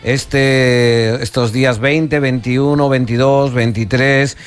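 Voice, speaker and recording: A middle-aged man hosting a radio interview, speaking in a halting way with many restarts. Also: mid-range voice, steady tone, smooth. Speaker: radio host.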